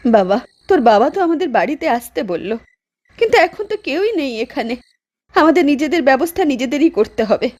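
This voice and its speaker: A woman's voice: high voice, sing-song, a little fast, a little mellow. A woman playing an anxious widowed mother in a horror cartoon, speaking in a plaintive, worried way. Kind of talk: Bengali horror cartoon acting.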